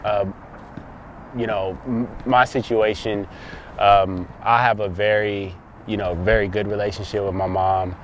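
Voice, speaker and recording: A man's voice: lowish voice, little pitch movement, a little slow, a little hiss or hum. A man of thirty from Texas, a guest talking reflectively in a casual conversation, often restarting his sentences. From Dallas, Texas. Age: 30s.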